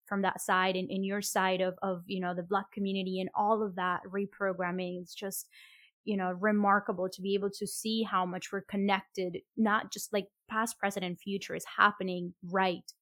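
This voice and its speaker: A woman's voice: mid-range voice, level tone, moderate pace, no echo. Reflective woman from Colombia, dry sound. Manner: warm, reflective, many fillers and restarts. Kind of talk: conversational interview.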